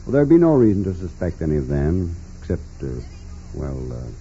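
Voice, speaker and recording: Deep, gravelly, dignified old-time radio judge. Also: male voice, sing-song, mellow. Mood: measured, confiding. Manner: measured, hesitant, courteous. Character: dignified, formal older male judge. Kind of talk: 1940s radio acting. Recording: muffled, noisy 1940s radio recording.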